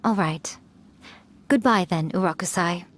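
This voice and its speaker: A woman's voice: fairly low pitch, a little slow, a little hiss or hum, natural voice. A woman voicing a centuries-old fox spirit in a video game, sly and self-assured, with a smug, playful poise. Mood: calm, assured.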